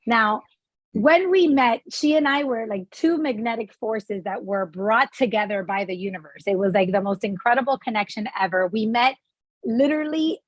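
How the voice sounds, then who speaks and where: A woman in her forties hosts a conversation, her voice fairly high and a little breathy as she tells an animated story. The sound is dry and close, with dull, lo-fi call audio. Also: moderate pace, dry recording. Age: 40s. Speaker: podcast host. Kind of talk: conversational interview.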